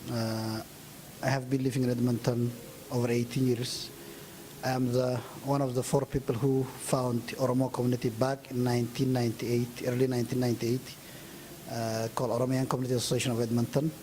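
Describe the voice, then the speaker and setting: A man from Ethiopia, a community leader answering interview questions earnestly with frequent pauses. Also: mid-range voice, level tone, mellow, a little hiss or hum, poor audio.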